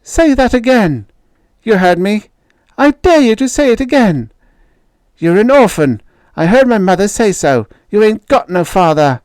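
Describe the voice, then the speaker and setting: Man acting a schoolyard bully, jeering, loud, raised pitch, rough. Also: very animated, unhurried, no room sound. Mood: taunting. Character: taunting schoolyard bully boy.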